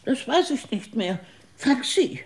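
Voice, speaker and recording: Frail old woman, timid, forgetful, lively high voice, radio drama. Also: slow, exaggerated voice. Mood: fearful. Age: older. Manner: hesitant, fearful, repeats herself.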